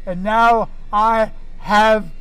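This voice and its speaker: A man voices a desperate, paranoid survivor in a horror audio drama. His voice sits high and drags slowly. It sounds close and dry, with no room around it. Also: fairly even intonation, pushed and bright, clean tone, no echo, exaggerated voice, rough recording. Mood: bleak. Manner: frantic, pleading, then bitter.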